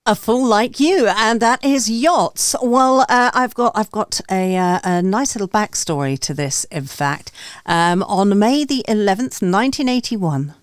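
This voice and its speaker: A woman hosting a music review show, introducing tracks and band histories in a halting, conversational way. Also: mid-range voice, lively intonation. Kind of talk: hosting and introducing songs, reading band backstory.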